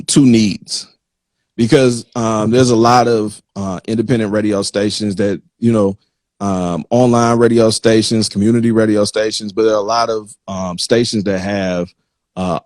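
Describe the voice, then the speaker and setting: A man hosting a live interview in a conversational way, haltingly circling back and repeating words as he frames his points. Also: on the low side, dry recording. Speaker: interview host.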